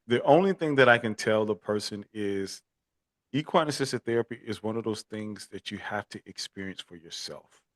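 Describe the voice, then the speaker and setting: Low-voiced earnest man, dry close sound. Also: expressive, moderate pace, no echo. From Miami, Florida. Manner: earnest, repeats words when starting sentences. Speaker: podcast guest, equine therapy expert. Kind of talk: casual interview talk.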